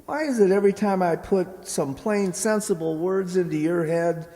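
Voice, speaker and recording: Slangy American gambler schemer, played by a man, highish swooping voice, radio comedy, echoey hall. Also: mellow. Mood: scheming, exasperated. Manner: slangy wise guy, drawn-out delivery. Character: slangy, scheming small-time gambler boyfriend. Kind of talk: old-time radio comedy acting.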